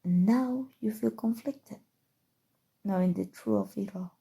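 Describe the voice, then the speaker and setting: A woman playing a possessive evil sorceress in an ASMR roleplay, speaking softly and tenderly with a breathy voice that often drops to whispers. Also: medium pitch, exaggerated voice. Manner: slow, soothing, deliberate, sinister affection. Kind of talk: ASMR roleplay, intimate scripted monologue.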